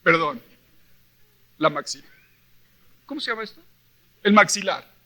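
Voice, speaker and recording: A man with a Mexican accent preaching a sermon, reading scripture and telling personal stories in an animated, emphatic way, acting out dialogue and putting questions to his listeners. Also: high voice, measured pace, noisy background. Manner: animated storyteller, acts out quoted dialogue. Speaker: preacher at a church convention.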